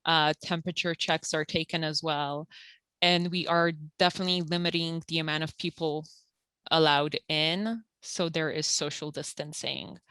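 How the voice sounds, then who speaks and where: Woman at a health center going over benefit rules, low voice, dry close sound, lots of ums. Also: flat delivery, normal speed, fairly bright tone, clear, resonant tone, no echo. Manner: explains rules step by step, many fillers. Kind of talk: informational interview.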